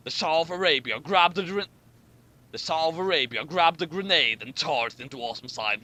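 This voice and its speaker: Bright-voiced, animated man reading comedically. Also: fairly high pitch. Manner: stumbles over lines and repeats them. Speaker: host of a comic fan fiction reading. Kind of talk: dramatic reading with mocking commentary.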